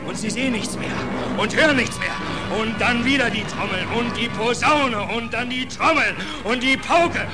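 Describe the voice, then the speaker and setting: A man's voice: very high voice, poor audio. An actor playing a weary, haunted seaman with brooding, repetitive phrasing in a 1940s German radio drama. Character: weary, haunted seaman telling of horrors at sea. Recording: old radio play, loud music behind.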